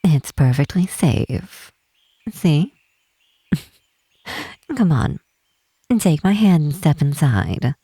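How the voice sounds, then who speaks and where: Woman acting a low, breathy, teasing half-elf mage. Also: expressive, no echo, full character voice. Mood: playful, inviting. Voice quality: soft, breathy, husky. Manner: unhurried, teasing, formal old-fashioned phrasing. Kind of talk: ASMR fantasy roleplay.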